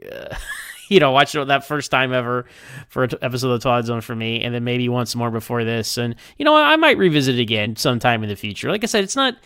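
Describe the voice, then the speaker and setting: Smooth, dry-sounding man chatting casually. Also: medium pitch, very animated, pushed and bright, no echo. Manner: rambling, halting, with repeated words. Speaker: podcast listener sending recorded feedback. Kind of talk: casual, unscripted episode review.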